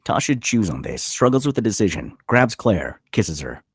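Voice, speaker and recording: A man's voice: on the low side, sing-song, normal speed, slightly rough, no room sound, clean studio sound. A man reading the stage directions as scripted narration at a screenplay table read.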